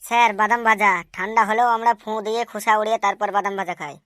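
A woman voicing a cheeky schoolchild in a comic cartoon, answering in a deadpan, literal-minded, politely impudent way. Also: mid-range voice, very fast, no room sound, studio quality, lightly acted. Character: cheeky schoolchild, earnest-sounding classroom smart aleck. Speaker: cartoon voice actor.

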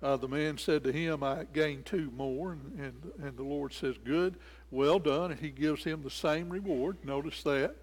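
A man's voice: a little high, unhurried. An older man preaching an expository sermon, explaining scripture and repeating phrases for emphasis. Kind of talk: sermon, expository Bible teaching. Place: indoors in a church sanctuary at a lectern. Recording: reverberant church hall.

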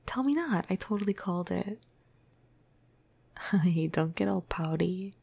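A woman playing a flirty, cuddly vampire crush in a breathy, casual ASMR roleplay, half-whispering close to the microphone. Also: lowish voice, animated, a little slow, warm tone, full character voice. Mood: flirty, fond. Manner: casual, playful, hesitant, breathy murmur.

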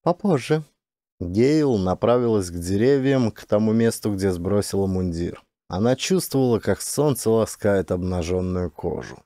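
A man narrates an audiobook in a low, dark and mellow voice. He reads prose fiction as a scripted dramatic story. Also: animated, moderate pace, few pauses, no room sound, clean studio sound.

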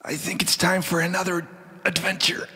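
An actor playing an excitable heist crew leader in a game, calling theatrically to his crew. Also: high-pitched, moderate pace, slight background noise, exaggerated voice. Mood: eager. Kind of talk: scripted video game dialogue. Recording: reverberant hall.

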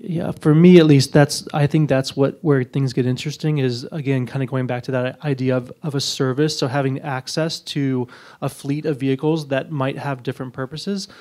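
A man's voice: mid-range voice, fairly even intonation, normal speed, warm tone. A man speaking conversationally as a panelist, with thoughtful, tentative phrasing, into a handheld microphone. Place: indoor auditorium panel before an audience.

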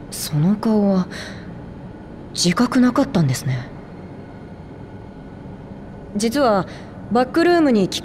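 A woman's voice: medium pitch, warm tone, a little hiss or hum, close to an everyday voice. A woman voicing a young elf technician in a video game, polite, curious and blithely frank. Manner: polite, breezy, inquisitive.

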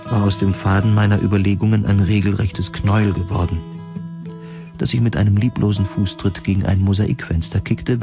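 A man's voice: sits low, creaky. A man reading a scripted first-person narration of a dystopian science fiction story. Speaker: audio drama narrator. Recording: music playing behind the voice. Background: plucked guitar music.

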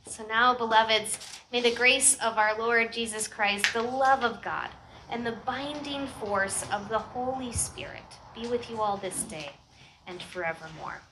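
A middle-aged American woman speaks as a pastor in a noticeably high voice. She addresses her congregation in an informal, chatty way, projecting to the group through a microphone at an outdoor church gathering. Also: unhurried, background noise. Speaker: pastor leading a church service.

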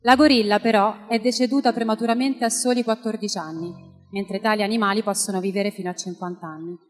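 A woman's voice: medium pitch, steady tone, quick pace. A woman in her thirties hosting an awards ceremony, reading from a script into a handheld microphone. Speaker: awards host. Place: theatre stage. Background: music.

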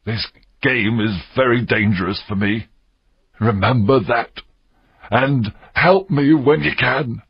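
A man playing a husky, wily pirate leader. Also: mid-range voice, very animated, unhurried, highs cut off, pitched above the performer's own voice, exaggerated voice. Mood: pleading. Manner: emphatic, swooping delivery. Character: Long John Silver, loud wily pirate leader. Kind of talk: audiobook character acting.

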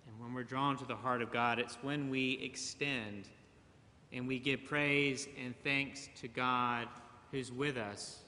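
A middle-aged man preaching a sermon from a church pulpit, slow and measured with long pauses. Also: medium pitch, fairly even intonation, pushed and bright. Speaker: pastor. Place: large church sanctuary, at a pulpit. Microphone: pulpit mic, far camera. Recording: church hall reverb.

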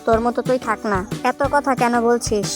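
A rude, bossy cartoon fox, voiced by a woman who scolds and waves others off. His voice sits a little high over loud background music. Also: brisk, close to an everyday voice. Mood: scornful. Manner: scolding, dismissive. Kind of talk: cartoon voice acting.

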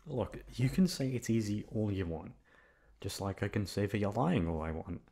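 Low-voiced, cheeky young guy played by a man. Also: lively intonation, moderate pace, dark tone. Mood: playful, complaining. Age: young adult. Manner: casual, hesitant, teasing, profane. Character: cheeky delinquent college-age guy, soft-hearted toward his best friend. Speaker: voice actor. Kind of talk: ASMR boyfriend roleplay.

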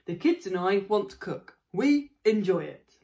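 Booming, grumbling northern English farmer father, as a woman narrator puts him on. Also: big pitch swings, unhurried. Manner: grumbling, loud and hearty. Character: gruff limping middle-aged farmer father.

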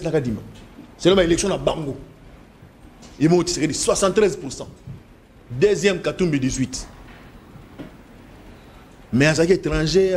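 A middle-aged man with a Congolese French accent, debating emphatically in a TV studio at a desk mic, mixing French and Lingala. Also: darkish tone. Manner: emphatic, gesturing, swinging pitch, switching between French and Lingala. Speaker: political debate guest. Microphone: desk microphone.

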